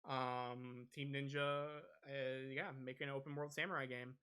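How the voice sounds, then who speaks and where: A man's voice: medium pitch, little pitch movement, a little slow, sharp tone, clear, resonant tone. A man hosting a podcast, talking casually and a little hesitantly through gaming news. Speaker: podcast host.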